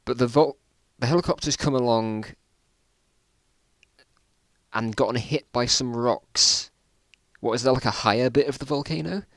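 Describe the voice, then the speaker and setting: A man's voice: mid-range voice, moderate pace, pauses often, a bit bright, no room sound, clean studio sound. A man reading his own old stories aloud in a casual dramatic style, with self-mocking, bemused asides.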